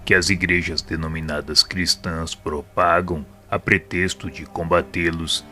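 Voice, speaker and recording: A man playing a wise, solemn spirit mentor who teaches in measured phrases in an audio drama. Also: low voice, flat delivery, bright, forceful tone. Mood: grave, instructive. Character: wise, solemn male spirit mentor explaining hell and mercy. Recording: music playing behind the voice.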